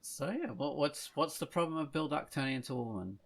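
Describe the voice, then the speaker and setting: A man's voice: fairly high pitch, animated, brisk, creaky, crowd noise. A man hosting a podcast as a casual chat, rambling along in a jokey way. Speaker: podcast host.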